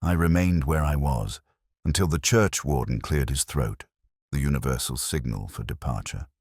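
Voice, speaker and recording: A man narrating a first-person detective story in a solemn, measured, literary manner with a husky voice. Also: a bass voice, darkish tone, dry recording, studio quality.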